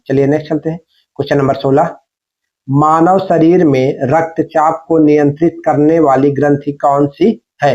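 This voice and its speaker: The sound is a bit dull and lo-fi. A man with a fairly high-set voice teaches as an exam coach. He reads out questions, prompts the learner and repeats the answers in an instructional way. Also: darkish tone.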